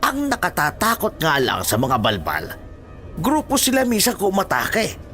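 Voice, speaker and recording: Man acting a high-voiced, grave Filipino village mentor. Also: huge pitch swings, a little slow, bright, forceful tone, exaggerated voice. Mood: grave warning. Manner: grave, cautioning. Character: knowing male village mentor warning of ghouls. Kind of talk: horror audio story acting. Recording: faint music behind the voice.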